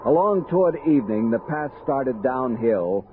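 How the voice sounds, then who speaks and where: An actor with an American accent playing a steady, decisive expedition captain in a 1960s radio drama. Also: male voice, mid-range voice, moderate pace, lightly acted. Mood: reflective narrating. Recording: noisy, narrow old radio recording.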